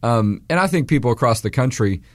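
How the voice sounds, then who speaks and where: A man in his forties from Texas, hosting a radio talk show in a hoarse voice, rambling with restarts and fillers. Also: mid-range voice, expressive, brisk, no room sound. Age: 40s.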